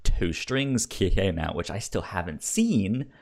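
A man co-hosting a casual film discussion, talking haltingly and often repeating words as he gets his thoughts out. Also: low-pitched, animated. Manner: halting, repeats words and stammers over starts. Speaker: podcast co-host.

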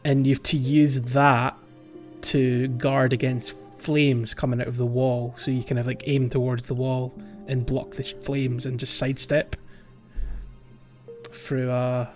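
A male podcast host chats casually about a video game, explaining each step as he goes. His mid-pitched voice sits in a slightly lo-fi recording. Soft music plays behind him. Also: level tone, a little slow. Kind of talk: casual game walkthrough chat.

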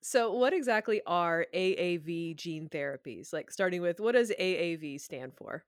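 A woman's voice: on the low side, lively intonation, moderate pace, dry recording, studio quality. A woman hosting a podcast, moving between scripted introduction and conversational interview questions.

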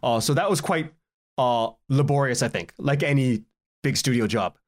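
A man speaking as a guest in a casual podcast interview, telling anecdotes in an animated way and acting out bits of dialogue. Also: mid-range voice, moderate pace. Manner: animated storytelling, acts out quoted exchanges, chuckles often. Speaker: guest, audio drama writer and director.

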